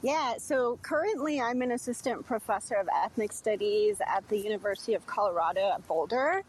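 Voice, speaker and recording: A woman speaking as an academic guest on live radio, giving measured answers. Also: mid-range voice, husky, poor audio. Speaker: historian, professor as radio guest. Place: outdoors, outside a detention center. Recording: faint outdoor background noise.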